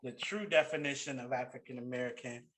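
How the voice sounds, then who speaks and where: A man hosting a video-call interview, asking questions in a relaxed conversational way. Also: mid-range voice, brisk, rough recording. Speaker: podcast host. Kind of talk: casual interview chat. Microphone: headset on video call.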